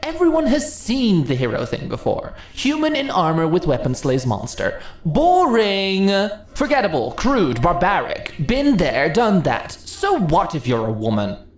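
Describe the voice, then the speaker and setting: A woman playing an ancient, seductive goddess in an ASMR fantasy roleplay, speaking in a theatrical, deliberate, condescending yet coaxing manner. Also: fairly low pitch, wildly swooping pitch, full character voice. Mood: mocking, then coaxing, impassioned. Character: ancient seductive goddess, imperious, teasing, persuasive. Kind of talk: ASMR fantasy roleplay, intimate dramatic monologue. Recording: roomy echo, audible ambient noise.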